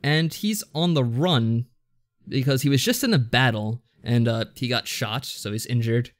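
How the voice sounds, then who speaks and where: A man's voice: medium pitch, normal speed. A man co-hosting a podcast, giving a casual review in a rambling, slightly hesitant explanatory way. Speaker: podcast co-host.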